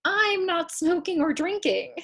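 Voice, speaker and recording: A woman's voice: very high voice, lively intonation, measured pace, creaky, a bit bright, heavily acted. A woman playing an idealistic, stubborn young girl in a comedy play reading, emphatic and indignant. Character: idealistic, stubborn young girl meeting her future selves. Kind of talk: comedy play read aloud.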